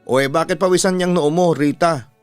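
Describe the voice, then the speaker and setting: A man's voice: fairly high pitch, fairly quick, clear, resonant tone, no room sound, voice pushed up, close to an everyday voice. A man with a Filipino accent voicing a bossy, protective mother who nags her children in a dramatised story. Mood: scolding. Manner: nagging, bossy. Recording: music behind the voice.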